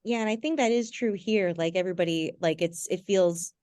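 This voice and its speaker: A woman hosting a podcast interview, chatting informally and haltingly, with lots of filler words like "like". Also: fairly low pitch, darkish tone, dry recording, studio quality. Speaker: podcast host. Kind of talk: casual interview chat.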